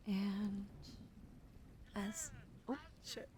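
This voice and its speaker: A woman streaming ASMR, whispering softly to her chat and slowly spelling out follower names, now and then swearing. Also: medium pitch, background noise. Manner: slow and gentle, swears and laughs in between. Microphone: studio mic.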